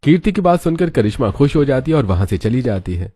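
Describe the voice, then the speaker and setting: A man's voice: mid-range voice, sing-song, quick pace, dark tone, slightly rough. A man reading scripted narration for a cartoon story.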